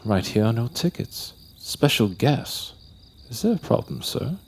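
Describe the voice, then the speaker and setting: An actor playing a formal, servile doorman with eerie courtesy and a breathy voice in an audio drama. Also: medium pitch, sing-song, a little slow, full character voice. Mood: unctuous. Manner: servile, ceremonious.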